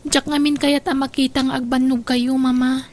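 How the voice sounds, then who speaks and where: A woman's voice: sits high, level tone, moderate pace, a little mellow, natural voice. A woman acting in a Filipino radio drama as a hurt, resentful young daughter, speaking in a plaintive, emphatic way. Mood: hurt, bitter.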